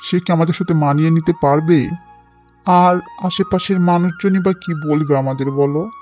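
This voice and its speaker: A man voicing a gentle, poor, worried village father in a cartoon moral story, explaining things plaintively and deliberately. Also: a little high, steady tone, dull audio, faint music behind, close to an everyday voice.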